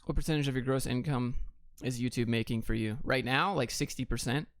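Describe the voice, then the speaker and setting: A man's voice: mid-range voice, brisk, no echo, studio quality. An American man hosting a casual livestream, reading out questions and answering them in a blunt, clipped, offhand way. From Atlanta, Georgia, United States. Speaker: livestream host.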